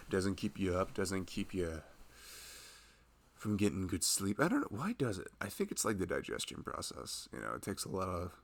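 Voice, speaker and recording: A man plays a loving, protective husband in an intimate ASMR roleplay. His low voice has plenty of gravelly fry, and it moves expressively as he rambles softly and conversationally to his pregnant wife. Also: sing-song, normal speed, heavily acted. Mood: tender, concerned. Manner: soft-spoken, rambling, conversational. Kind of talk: intimate ASMR roleplay, casual improvised chat.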